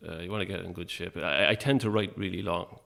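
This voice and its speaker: A man's voice: deep voice, steady tone, quick pace, gravelly, sharp tone. An Irish man chatting casually as an interview guest, a little hesitant and self-effacing. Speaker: guest, playwright.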